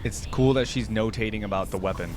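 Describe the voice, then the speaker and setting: A man giving casual, musing commentary as a reaction video host, often trailing off quietly. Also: fairly low pitch, fast, background noise. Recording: voice over loud game cinematic music.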